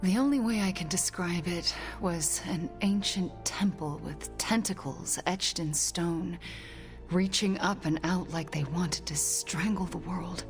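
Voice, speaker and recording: A low-voiced, brooding young sorceress. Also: female voice, unhurried, soft background music. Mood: grave, hesitant. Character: young sorceress, brooding, guilt-ridden, earnest and determined. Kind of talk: video game cutscene acting.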